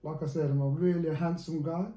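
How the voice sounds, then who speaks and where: A man's voice: fairly high pitch, fairly even intonation, brisk, mellow, roomy sound. A man leading a friendly coaching session, upbeat and joking about himself. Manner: upbeat, joking and self-mocking. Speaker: life coach.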